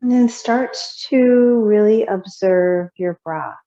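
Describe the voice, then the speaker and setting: A woman yoga teacher leading a guided meditation in a soothing, unhurried, gently instructing way with long pauses. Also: medium pitch. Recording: roomy echo, slightly muffled sound.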